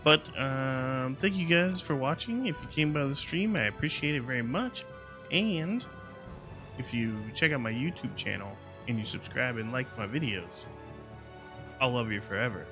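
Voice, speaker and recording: A man's voice: medium pitch, animated, moderate pace. A tired man giving casual commentary as he streams a video game. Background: game music.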